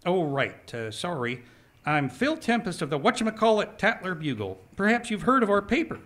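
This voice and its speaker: A man's voice: sits high, very animated, moderate pace, a bit bright. An actor playing a chatty small-town male newspaper reporter, rambling, eager and cheerfully oblivious. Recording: noticeable background hiss.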